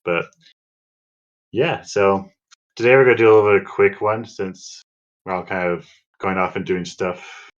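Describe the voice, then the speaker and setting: A man with a low voice hosts a casual podcast. He talks in a loose, rambling way, full of filler words. The sound is a little dull and muffled. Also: steady tone, normal speed, long pauses. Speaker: podcast host.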